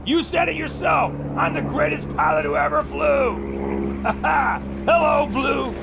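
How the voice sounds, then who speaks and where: Cocky high-voiced flying ace. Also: male voice, unhurried, gravelly, heavy background noise, heavily acted. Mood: brash, urgent. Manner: folksy, brash. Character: cocky, reckless young American ace pilot hero. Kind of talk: pulp adventure audio drama acting. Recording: loud music over the voice.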